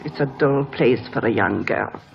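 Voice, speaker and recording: A woman's voice: a bass voice, moderate pace, creaky, a little hiss or hum. An actress playing a kindly, melancholy household servant woman in an old radio drama. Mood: gently concerned. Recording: muffled old radio broadcast, music underneath.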